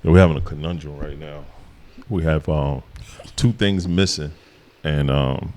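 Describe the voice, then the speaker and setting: Deep deep voice guy cohosting a podcast, loose easygoing chat with lots of ums. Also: a little slow. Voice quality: deep voice that carries. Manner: relaxed, rambling with fillers and laughs. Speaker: podcast co-host. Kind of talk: casual chat.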